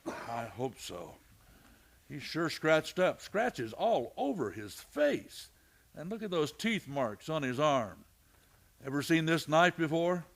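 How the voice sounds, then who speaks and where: An actor playing a steady, authoritative marshal in a Western radio drama, firm, terse and dry. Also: male voice, on the high side, slow pace, full character voice. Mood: resolute.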